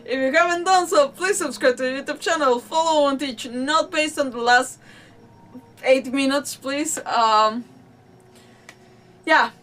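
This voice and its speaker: A woman's voice: high voice, a little slow, pauses often, fairly bright tone, far from the mic, soft background music. A woman hosting a casual live stream, chatting in a rambling, apologetic, self-deprecating way. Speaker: livestream host.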